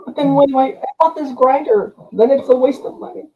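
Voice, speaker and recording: A man chatting casually, with a halting way of speaking that repeats words. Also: very high voice. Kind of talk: casual chat. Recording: dull, muffled lo-fi sound.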